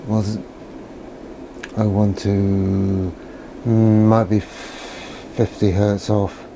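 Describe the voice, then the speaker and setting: A man's voice: lowish voice, little pitch movement, extremely slow, background noise. A man thinking aloud in an understated, musing way as he gives a casual hands-on electronics demonstration, often putting questions to himself. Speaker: electronics hobbyist presenter.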